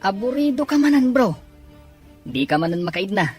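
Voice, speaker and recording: A man acts out a chummy, scheming buddy in a drama serial. His voice is husky, with faint music underneath. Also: high voice, big pitch swings, moderate pace, darkish tone, heavily acted. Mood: chummy, conspiring. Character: scheming, chummy male buddy egging a friend on.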